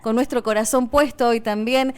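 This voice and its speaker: A woman's voice: a little high, fairly even intonation, moderate pace, a little mellow, clean tone. A woman radio host with an Argentine accent, running an interview in a chatty way with many fillers and restarts. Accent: Argentine Spanish.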